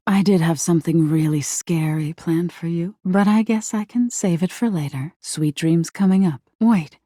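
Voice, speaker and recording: Creepy female nightmare spirit for a game, dark mellow voice, husky, intimate, menacing but sweet. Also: a little slow, no echo, clean studio sound. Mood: playfully sinister, then vulnerable. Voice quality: breathy, husky, low. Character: female living nightmare spirit, eerie, seductive, wounded, menacing. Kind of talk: video game character acting.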